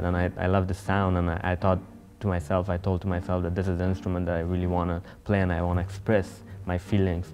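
A young man from Afghanistan talks earnestly in a sit-down interview, stumbling and restarting as he searches for words. His voice is low and flat. Soft music plays faintly behind him. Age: young adult. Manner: earnest, halting, many restarts. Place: empty concert hall stage.

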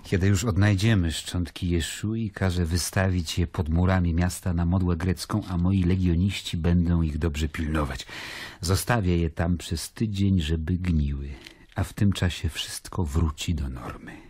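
An actor playing a grave Roman governor who confides in his brother, in a measured, solemn radio-drama narration. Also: low voice, fairly even intonation, a little mellow, slightly rough, no room sound. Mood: commanding. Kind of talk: radio drama, epistolary first-person narration. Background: music.

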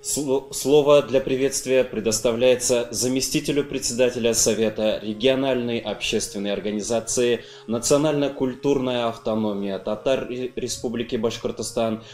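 A young man presents a formal event in slow, declamatory scripted lines. His voice sounds roomy. He speaks at a middling pitch. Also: steady tone, a little mellow. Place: indoors in front of a logo backdrop.

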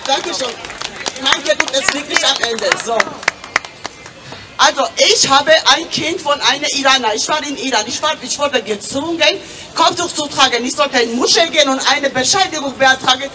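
A woman in her thirties with a Georgian accent, giving an impromptu, emotional rally speech in a nervous, agitated, halting way. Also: sits high, recorded from a distance. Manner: impassioned, agitated, halting delivery. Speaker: audience member speaking at a rally. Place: open city square at a street rally. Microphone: handheld microphone, filmed by a camera nearby. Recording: outdoor public-address microphone from afar, noisy crowd.

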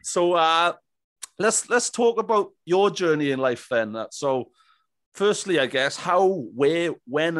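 A man's voice: fairly high pitch, no room sound, studio quality. A man in his thirties interviewing casually as a podcast host, chatty and bantering. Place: small indoor home studio. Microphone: close boom-arm microphone, headphones.

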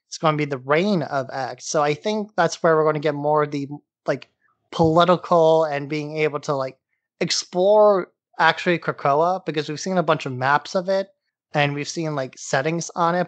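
A man's voice: fairly high pitch, a bit bright, no echo. A man co-hosting a podcast in a casual discussion, talking in loose, rambling explanations. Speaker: podcast co-host.

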